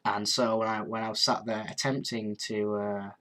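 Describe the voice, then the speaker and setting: A man, chatting casually on a live stream and answering comments. His nose is blocked and sniffly, and he rambles, pausing on ums and restarting his sentences. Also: fairly low pitch, little pitch movement, pushed and bright. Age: 20s. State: congested, sniffly.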